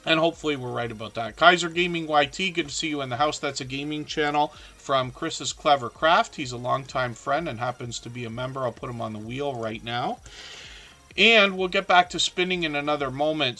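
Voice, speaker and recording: A man's voice: medium pitch, pushed and bright. A middle-aged man, hosting a live stream with a scratchy, worn voice and a chatty, encouraging manner full of ums. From southern Ontario, Canada. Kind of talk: casual live stream chat.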